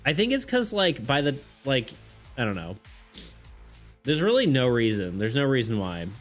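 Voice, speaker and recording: A man's voice: medium pitch, wildly swooping pitch, normal speed. A man chatting casually with his viewers on a live stream, rambling, restarting his sentences and laughing often. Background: faint background music.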